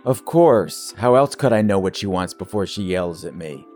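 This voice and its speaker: Coy office assistant, animated swooping pitch, flirtatious, gossipy, teasing, eager, campy audio comedy. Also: male voice, moderate pace, soft background music. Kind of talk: campy satirical audio drama acting.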